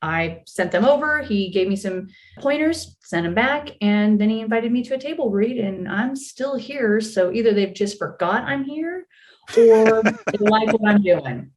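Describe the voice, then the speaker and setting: A woman chatting casually as an interview guest, telling stories in a wry, self-deprecating and animated way. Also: fairly high pitch, measured pace. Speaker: voice actor being interviewed. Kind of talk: casual chat.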